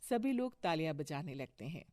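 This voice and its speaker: A woman's voice: sits low, big pitch swings, normal speed, a little mellow, no echo. Woman storyteller with dry sound. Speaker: story narrator. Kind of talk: scripted moral-story narration.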